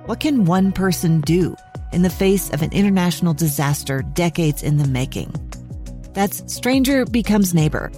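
A woman reading a scripted podcast promo in an earnest, steady way. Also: fairly low pitch, moderate pace, faint music behind.

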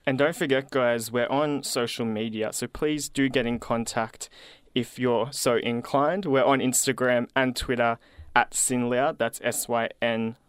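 Casual young australian radio host, smooth voice, close dry mic. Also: male voice, mid-range voice, steady tone, measured pace, no room sound. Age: 20s. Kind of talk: community radio chat. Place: radio studio.

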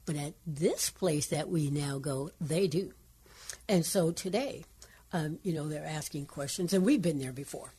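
Older woman with a carrying husky voice. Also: sits low, a little slow. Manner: lively storytelling, bursts into song. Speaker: radio co-host, pastor's wife.

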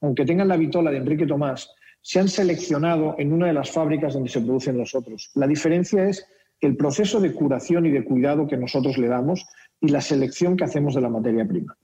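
A man's voice: fairly high pitch, fast, dark tone. Spanish businessman on a muffled line. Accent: Castilian Spanish with a slight Mexican mix. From Spain. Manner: explanatory, frequent repetitions and tag questions. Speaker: company director, interview guest. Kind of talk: radio interview.

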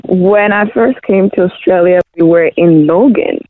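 A woman's voice: fairly low pitch, a little slow, no echo. A woman from Zimbabwe, a singer-songwriter guest, answering interview questions with many hesitations. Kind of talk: casual interview. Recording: tinny phone-like line.

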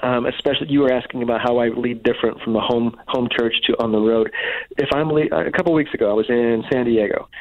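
A man's voice: medium pitch, steady tone, quick pace. Man with slight head cold, dull lo-fi sound. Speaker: guest, touring worship musician. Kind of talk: casual reflective interview talk.

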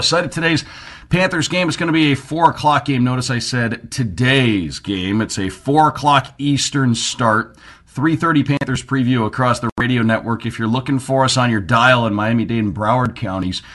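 A man's voice: medium pitch, fairly bright tone. Chatty man hosting a sports radio show. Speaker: sports radio show host and team broadcaster.

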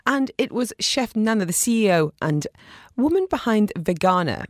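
A woman's voice: medium pitch, expressive, normal speed, dry recording. A woman presenting a radio food show and chatting in a studio with an effusive, emphatic way of talking. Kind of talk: radio presenting and interview chat.

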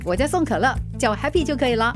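An actress playing a harried, plucky working mother with a Singaporean accent, expressive and earnest in a radio drama. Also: on the high side, rapid-fire, over music, natural voice. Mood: friendly. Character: harried, plucky working mother turned food delivery rider.

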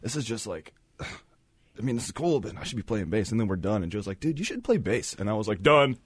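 A man from Arkansas, a band's bassist, with a deep voice, telling stories in an easygoing way as a radio guest. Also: fast talker, slightly rough, dry recording. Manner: relaxed storyteller, easygoing. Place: radio studio.

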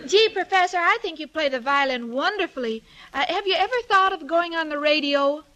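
A woman's voice: high-pitched, animated, a little fast, pushed and bright, faint music behind, low-quality recording. An actress playing a sweet, naive, scatterbrained young woman in a 1940s radio comedy, earnest and oblivious, on a vintage recording. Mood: naive, cheerful.

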